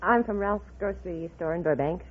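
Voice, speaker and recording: A woman's voice: fairly low pitch, a little fast. A woman giving short, plain answers as a contestant on a radio quiz show. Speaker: contestant, supermarket cashier. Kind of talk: brief interview answers. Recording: tinny, very noisy old radio with audience. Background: live studio audience.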